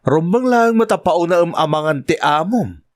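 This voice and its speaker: A man's voice: a little high, lively intonation, moderate pace, natural voice. A man with an Ilocano Filipino accent playing a cruel, domineering master of the house in an audio drama. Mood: mocking, menacing. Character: cruel, domineering man keeping a woman as servant. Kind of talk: amateur radio-style drama acting. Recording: music behind the dialogue.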